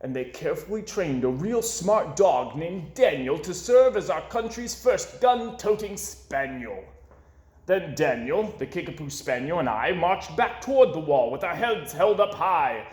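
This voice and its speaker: A man reading a rhyming children's book aloud with an expressive, sing-song delivery, in an echoey room. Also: high-pitched, measured pace. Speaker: storyteller.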